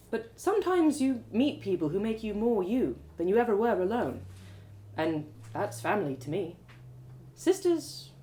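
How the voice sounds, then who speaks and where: A woman reader plays a friendly, talkative townswoman from a storybook. She speaks in an animated, swooping way, chatty and emphatic, reassuring the young newcomer she guides. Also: mid-range voice, reverberant, close to an everyday voice. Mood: warm, thoughtful. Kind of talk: storybook character voice.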